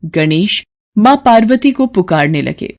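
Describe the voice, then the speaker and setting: A woman's voice: fairly low pitch, animated, a little slow, a little mellow. A woman narrating a scripted devotional story as a storyteller.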